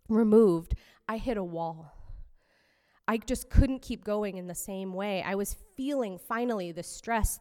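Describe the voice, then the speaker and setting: A woman minister giving a personal, reflective sermon in a measured way. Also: mid-range voice, darkish tone. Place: fellowship hall. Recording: long hall reverb.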